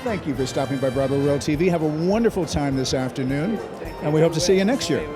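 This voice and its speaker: Man, event interviewer, slightly high voice, effusive compliments, music in background. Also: animated, fast talker. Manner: gushing, complimentary. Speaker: red carpet interviewer.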